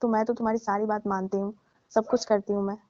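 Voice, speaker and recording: A woman's voice: medium pitch, flat delivery, fast talker, mellow, no room sound, lightly acted. A woman playing a married older lover in a phone call drama, coaxing and teasing as she placates her sulking younger man. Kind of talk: scripted prank-style phone call drama in colloquial Hindi. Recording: dull lo-fi phone call recording.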